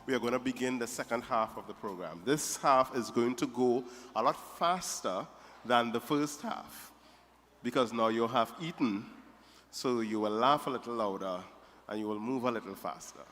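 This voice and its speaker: A man's voice: mid-range voice, normal speed, a bit bright, slight background noise. A man emceeing a wedding reception, playfully teasing the guests table by table. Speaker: master of ceremonies. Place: large reception hall. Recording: reverberant hall.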